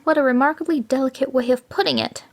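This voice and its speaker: A woman's voice: sits high, fast, voice pushed up. A woman voicing a frightened male Brahmin who pleads nervously.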